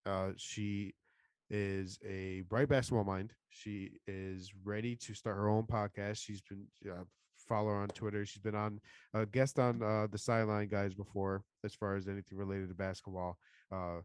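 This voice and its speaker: A man co-hosting a podcast, a little hoarse from being sick, signing off with upbeat plugs. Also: lowish voice, flat delivery, moderate pace, dry recording. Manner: upbeat, hyped plugs and shout-outs. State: losing his voice after being sick. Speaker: podcast co-host. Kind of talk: casual podcast sign-off.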